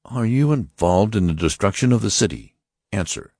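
A man's voice: lowish voice, expressive, a little mellow, no room sound, clean studio sound, pitched above the performer's own voice. A man putting on a stern Martian officer. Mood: menacing. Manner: commanding, slow and threatening. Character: stern male Martian officer interrogating passengers.

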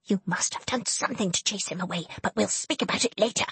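A woman voicing a spiteful, jealous young society lady in an audiobook, sharp and imperious. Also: high-pitched, big pitch swings, moderate pace, slightly rough, no room sound, pitched above the performer's own voice, exaggerated voice. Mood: spiteful, warning.

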